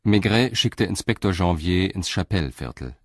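A man narrating a crime radio drama in an even, measured way. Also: low-pitched, dry recording, clean studio sound. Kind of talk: scripted narration.

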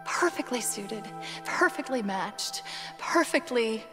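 A woman playing a quick-witted, emotional female AI companion in a video game, expressive and conversational. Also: fairly high pitch, in a large hall. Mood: tender, earnest. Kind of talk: video game cutscene acting. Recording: music behind the voice.

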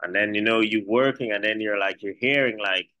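A man's voice: lowish voice, brisk, bright, forceful tone, dry recording, low-quality recording. A middle-aged Trinidadian man, a chef and restaurant co-founder, talking in a rambling way with many restarts in a video call interview.

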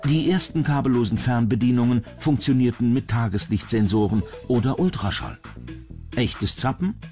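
A man's voice: medium pitch, expressive, normal speed, darkish tone. Male documentary narrator over music. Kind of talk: scripted narration. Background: background music.

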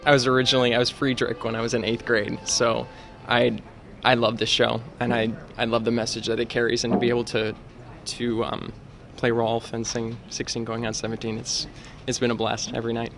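A man's voice: mid-range voice, monotone, brisk, gravelly, over music. A young adult man, a stage actor, speaking enthusiastically and tripping over his words in an interview. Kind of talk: casual interview. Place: backstage in a theater.

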